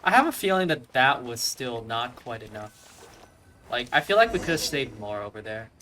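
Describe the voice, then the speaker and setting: A man's voice: mid-range voice, very animated, moderate pace, sharp tone, slight background noise. A man giving casual, slangy let's play commentary, thinking aloud as he plays.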